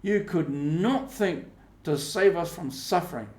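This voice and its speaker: A man acts out a stern old aunt from a storybook, hurt and scolding. The voice is pitched up and emphatic, full of reproach, in an echoey room. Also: animated, a little slow, a little mellow.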